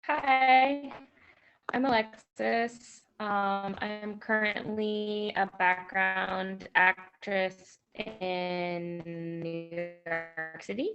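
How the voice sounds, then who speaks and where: Woman, very slow hesitant talk, slightly low pitch, casual, dull video-call audio. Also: steady tone, soft background music. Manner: hesitant, rambling delivery. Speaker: background actress and writer. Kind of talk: casual self-introduction.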